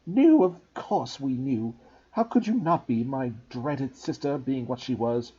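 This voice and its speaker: Shrieking spiteful aunt. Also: male voice, medium pitch, measured pace, dark tone, husky. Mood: bitter. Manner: shrieking, rattling on. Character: shrill bitter aunt, spiteful and resentful. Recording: dull lo-fi recording.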